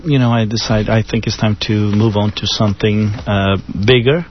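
A man from Brazil with a Brazilian accent, German his first language, talking as a guest on a radio show, relaxed and joking with many fillers. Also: fairly low pitch, moderate pace. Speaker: artistic director, guest. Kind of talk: radio interview. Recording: dull radio studio sound with faint noise.

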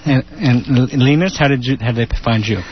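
A man's voice: medium pitch, fairly quick. Casual, hesitant man hosting radio. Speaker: radio host. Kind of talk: radio interview. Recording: dull radio studio sound.

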